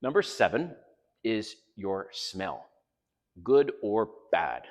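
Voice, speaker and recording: A man's voice: lowish voice, very animated, takes it slowly, long reverb tail. An older man from Canada with a Canadian accent, giving blunt, frank dating advice straight to his viewers in a casual monologue.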